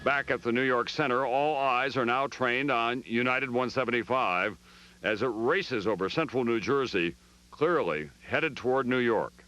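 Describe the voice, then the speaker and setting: A man's voice: mid-range voice, moderate pace, pushed and bright, muffled recording. An American man narrating a scripted news documentary as correspondent, with a grave, dramatic storytelling delivery.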